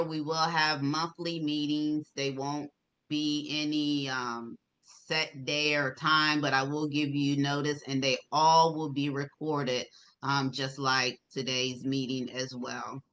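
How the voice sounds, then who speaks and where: A woman with a very bright, forceful voice presents informally on an online call as the organizer of a conference. She instructs and encourages her group, repeating words for emphasis. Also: sits low, level tone, slow, muffled recording.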